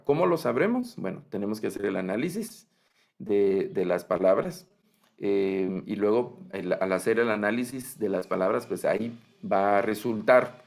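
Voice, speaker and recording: A man's voice: fairly low pitch, vocal fry, a little mellow. A man with a Latin American Spanish accent teaching a Bible language class online, explaining patiently and often checking in with his students. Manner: patient, explanatory, frequent "¿verdad?" check-ins.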